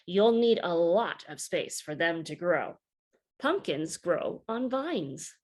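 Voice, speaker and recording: Woman, children's storybook reader, unhurried, expressive, teacherly, varied intonation. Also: fairly low pitch. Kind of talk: children's story read-aloud.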